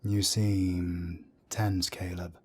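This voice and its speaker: A man playing a calm, fatherly werewolf council elder who speaks softly and gently chides. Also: deep voice, extremely slow, slightly rough, heavily acted. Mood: gently chiding. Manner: soft-spoken, gently chiding.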